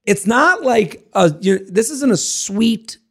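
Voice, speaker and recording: A man co-hosting a podcast in casual, joking chat, hoarse as his voice comes back. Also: sits high, slow. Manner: joking, animated, self-mocking. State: hoarse, voice recovering. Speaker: podcast co-host.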